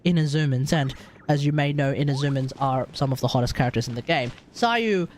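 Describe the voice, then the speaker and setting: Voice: medium pitch, a little fast, a little hiss or hum. A streamer with an Australian accent giving casual, rambling, jokey live commentary on a game. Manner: rambling, jokey, easily amused.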